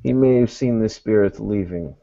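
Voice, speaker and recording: Very deep, formal-spoken man in roleplay. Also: gravelly, mellow, highs cut off. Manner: formal, measured wording. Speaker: game master playing a character in a tabletop roleplay. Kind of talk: in-character tabletop roleplay.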